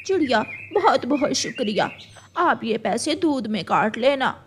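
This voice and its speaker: A woman's voice: fairly high pitch, expressive, measured pace, slight background noise. A woman voicing a distressed, poor mother crow in a cartoon story, pleading and tearful for her child. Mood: worried, grateful. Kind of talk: Hindi cartoon story voice acting.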